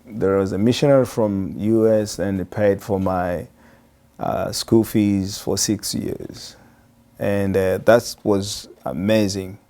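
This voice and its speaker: A man from Tanzania in his forties, a pastor telling his life story in an interview, with many pauses and fillers. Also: lowish voice, fairly even intonation, dark tone.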